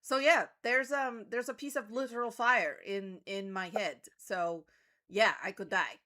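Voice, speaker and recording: A woman's voice: medium pitch, steady tone, unhurried, sharp tone. A woman playing a tabletop roleplaying game, improvising her character's dialogue in a dry, matter-of-fact, casual way.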